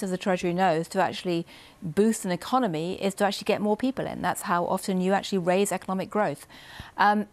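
A female newspaper writer lays out economic points in long, fluent sentences. Her voice sits in the middle of the female pitch range. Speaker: newspaper writer, panel guest. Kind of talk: economic analysis.

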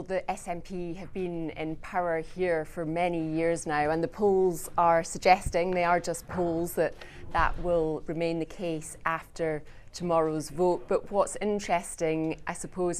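A woman reporting live as a political correspondent, explaining the polls in a relaxed way. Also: lowish voice.

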